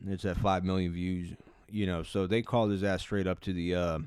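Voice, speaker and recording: A man with a lowish, smooth, resonant voice riffs through a casual reaction commentary. He swears freely, stumbles over his words and restarts often. The sound is dry and close. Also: little pitch movement, a little fast, a little mellow, no echo. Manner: profane, rambling, many restarts. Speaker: commentary channel host.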